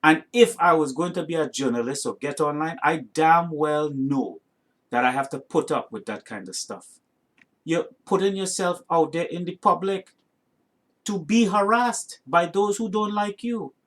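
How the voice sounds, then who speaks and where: A man's voice: fairly high pitch, unhurried, fairly bright tone. Indignant man with a light Trinidadian lilt. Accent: North American with some Trinidadian. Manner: emphatic, indignant, rhetorical tag questions. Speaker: reaction video host. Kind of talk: opinionated reaction commentary to camera.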